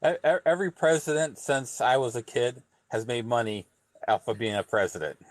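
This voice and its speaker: A man's voice: mid-range voice, normal speed, dry recording. Blunt man in his forties, dry sound. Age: 40s. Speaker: guest. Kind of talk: casual debate chat.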